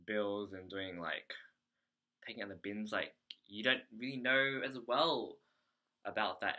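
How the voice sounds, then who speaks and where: A man's voice: fairly low pitch, bright, forceful tone. An Australian man speaking in an unscripted video diary, rambling hesitantly and often correcting himself as he goes. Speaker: vlogger, English teacher abroad.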